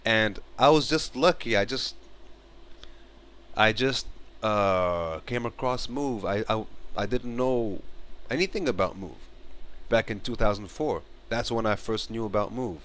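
Man, 30s, from Morocco; earnest home-vlog delivery on a headset, fairly low pitch, halting restarts. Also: fairly bright tone. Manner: earnest, repeats and restarts phrases, gestures while talking. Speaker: vlogger. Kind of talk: casual spoken commentary to camera. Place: bedroom, small indoor room. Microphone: headset mic.

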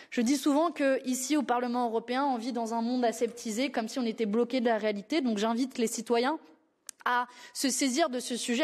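A woman's voice: a little high, darkish tone. Combative woman at a press podium. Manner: combative, hesitant fillers, many restarts. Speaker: party group leader at a press briefing. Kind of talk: press conference answers. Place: press briefing room. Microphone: podium microphone.

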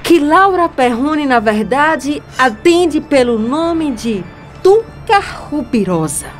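A woman's voice: high-pitched, big pitch swings, slow pace, faint music behind, heavily acted. Composed, defiant Brazilian young woman. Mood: defiant, accusing. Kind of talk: Brazilian radio soap opera acting.

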